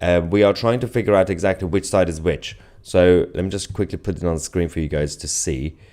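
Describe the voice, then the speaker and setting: A man's voice: sits low, fairly quick, dark tone. A man hosting a live news commentary show, speaking to viewers in a sardonic, mocking and emphatic way. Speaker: host of online political news show.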